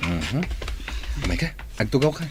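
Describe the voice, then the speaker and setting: A man's voice: on the low side, big pitch swings, normal speed, background noise. An actor playing a caring male friend in a radio drama, earnest and reassuring. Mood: concerned. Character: steady, caring male friend consoling and questioning.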